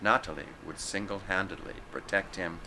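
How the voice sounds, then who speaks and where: A man reading a literary story aloud in a theatrical, deliberately phrased way, now and then stumbling over the text. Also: low voice, background noise. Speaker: story reader. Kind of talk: scripted narration, literary story read aloud.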